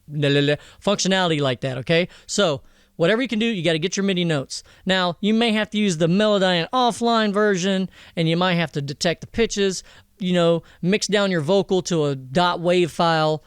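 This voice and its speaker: A man presenting a casual software tutorial in a slangy, jokey, irreverent way, speaking close to a studio microphone. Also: high voice, brisk, smooth, no echo.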